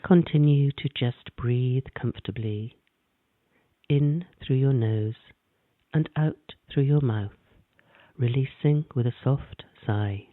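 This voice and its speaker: A man gently narrating a guided meditation in a calm, measured way. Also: mid-range voice, dry recording. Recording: dry, tinny phone-like sound.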